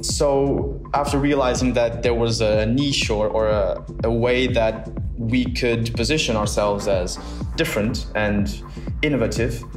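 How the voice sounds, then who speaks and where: A young man from the south of Portugal, a founder answering interview questions thoughtfully with many pauses and restarts. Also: mid-range voice. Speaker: startup founder, guest. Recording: roomy sound with music bed.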